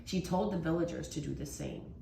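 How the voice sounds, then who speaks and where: A woman's voice: deep voice, vocal fry, dark tone. A woman reading a children's storybook aloud as a teacher, with dramatic, emphatic expression. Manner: dramatic, with emphatic drawn-out words. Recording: roomy echo, faint background noise.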